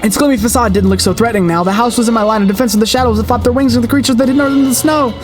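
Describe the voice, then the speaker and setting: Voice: medium pitch, fairly even intonation, rapid-fire, soft background music. A streamer reading a horror story aloud with dramatic expression and the occasional stumble.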